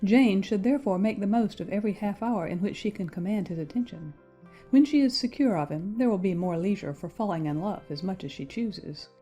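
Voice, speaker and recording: Woman as pragmatic young period gentlewoman for audiobook drama: mellow, husky, measured and formal, music behind. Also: medium pitch, lightly acted. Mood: measured persuasion. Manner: measured, matter-of-fact, formal period diction. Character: pragmatic, worldly young gentlewoman, coolly advising.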